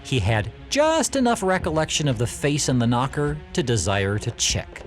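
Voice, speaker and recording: A man narrating a classic story in audio theatre, with measured, emphatic, expressive delivery. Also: mid-range voice, soft background music.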